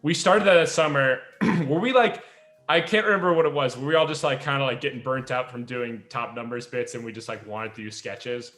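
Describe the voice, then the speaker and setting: A man chatting casually and reminiscing as a podcast host, in an animated, storytelling way. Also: medium pitch, brisk. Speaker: podcast host and audio drama creator. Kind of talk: casual chat, reminiscing among friends. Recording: roomy echo, faint music behind.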